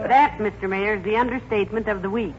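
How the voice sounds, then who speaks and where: An actress playing a good-humored, sensible wife with wry, folksy quips in 1940s radio comedy. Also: female voice, mid-range voice, fairly even intonation, quick pace, lightly acted. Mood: amused. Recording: dull, very noisy 1940s radio recording.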